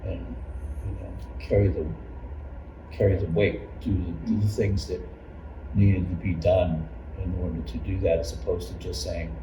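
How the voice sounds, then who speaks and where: A mature man with a very low voice reminisces as a church elder, earnest and halting. The recording is roomy and slightly dull, with clear noise behind him. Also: little pitch movement. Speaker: church elder recalling past events. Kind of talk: personal reminiscence. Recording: echoey room, distant muffled mic, noise.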